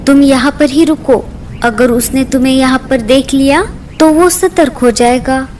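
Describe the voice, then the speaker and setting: Very high-voiced clever mother songbird played by a woman. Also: moderate pace, darkish tone, slight background noise, natural voice. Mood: daring, scheming. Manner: pleading, crafty. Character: clever plaintive mother songbird, small and daring. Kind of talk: Hindi cartoon dialogue.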